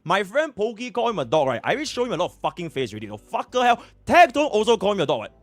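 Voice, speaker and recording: A man's voice: high-pitched, huge pitch swings, rapid-fire, no room sound, voice pushed up. A man voicing a brash, foul-mouthed friend with a strong Singaporean accent who rants in Singlish. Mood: ranting. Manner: shouty, insulting, clipped slang tags.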